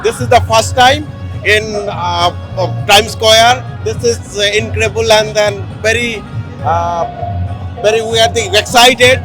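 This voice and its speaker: A man from Bangladesh speaking haltingly with many pauses in a street interview at a crowded outdoor festival. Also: high voice, poor audio. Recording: loud crowd and music outdoors.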